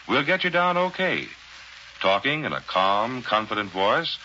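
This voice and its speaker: A 1940s American radio pitchman with a lively, swinging melody and a hard, forceful edge. He tells things with polished drama. The old broadcast recording is dull, with faint noise. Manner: dramatic, polished announcer delivery. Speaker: radio commercial announcer. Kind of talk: 1940s radio advertising narration.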